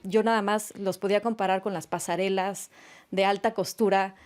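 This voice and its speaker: A woman's voice: mid-range voice, fairly even intonation, moderate pace. A woman in her late forties with a Mexican accent, a fashion designer answering interview questions in a radio studio and telling stories in a conversational way. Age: late 40s. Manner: warm storytelling, frequent tag questions.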